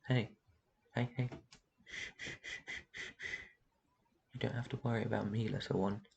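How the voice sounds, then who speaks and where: An ancient vampire master, acted out by a man, with a low voice full of gravelly creak. He speaks in a gentle, protective and soothing way, as in an intimate roleplay ASMR. His delivery stays very even, with slight noise behind it. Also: normal speed, dull audio, heavily acted. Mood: reassuring, protective. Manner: soft shushing, reassuring, tender endearments. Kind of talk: roleplay ASMR, intimate one-sided dialogue.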